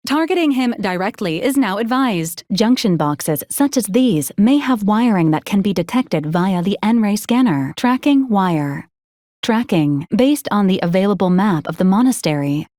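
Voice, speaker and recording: Woman voicing a deadpan, polite robot companion. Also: mid-range voice, dry recording, clean studio sound, natural voice. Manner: formal, clipped, deadpan machine-like announcements. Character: polite, unflappable female robot companion assisting a commander. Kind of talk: scripted video game character lines.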